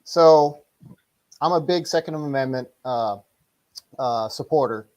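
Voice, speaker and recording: A middle-aged man speaking casually as an interview guest and martial arts instructor, rambling and halting as he explains things. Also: mid-range voice, darkish tone.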